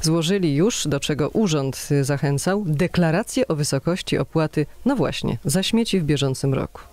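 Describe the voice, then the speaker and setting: A woman hosting a radio interview, asking her guest brisk, direct questions in a studio. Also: deep voice, animated, darkish tone.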